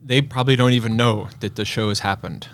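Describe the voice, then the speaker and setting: A man's voice: medium pitch, steady tone, fast talker, slight background noise. A man co-hosting a casual film discussion podcast, giving his opinions in a confident, conversational way. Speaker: podcast co-host. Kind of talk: casual movie trailer discussion.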